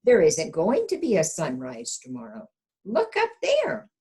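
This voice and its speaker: A woman's voice: mid-range voice, wildly swooping pitch, measured pace, darkish tone, slightly rough, full character voice. Woman voicing Hen, an alarmed, urgent storybook hen. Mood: urgent warning.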